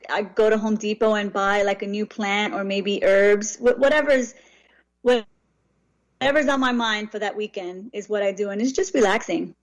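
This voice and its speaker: Relaxed reflective woman guest. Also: medium pitch, rough recording. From New York. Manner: relaxed, reflective, frequent restarts. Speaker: author and guest. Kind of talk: casual interview answers.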